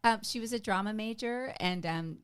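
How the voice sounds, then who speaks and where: A woman's voice: medium pitch, normal speed, clean tone, no echo. A middle-aged woman from the United States, a podcast guest talking in a chatty, self-deprecating way with many fillers. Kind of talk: casual interview chat.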